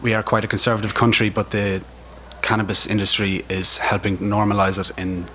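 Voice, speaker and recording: Fast-talking Irish man, low voice. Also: little pitch movement, bright, forceful tone. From Ireland. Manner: enthusiastic, repeats himself for emphasis. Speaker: CBD retailer on a panel. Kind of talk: informal spoken presentation. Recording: noticeable background noise.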